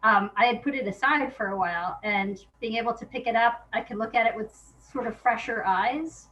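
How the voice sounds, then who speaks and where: A woman's voice: on the high side, brisk, a bit bright, highs cut off. A woman guest chatting casually in an interview, thinking aloud in an informal, hesitant way. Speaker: guest playwright.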